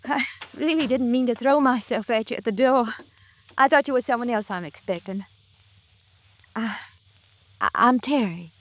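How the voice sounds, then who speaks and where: A woman's voice: fairly high pitch, moderate pace, long pauses, close to an everyday voice. A woman acting a young, gracious, fragile American widow in a 1950s radio drama, hesitant and polite. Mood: flustered, polite. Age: young adult.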